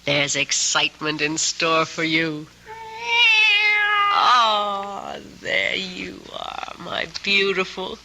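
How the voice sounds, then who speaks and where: A woman's voice: deep voice, flat delivery, drawn-out words, gravelly, sharp tone, slight background noise, heavily acted, low-quality recording. An actress playing a domineering young female drifter, sly and mocking, in theatrical old-time radio drama style. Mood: mock tender, then eerie, gloating. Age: young adult. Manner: theatrical, commanding, taunting.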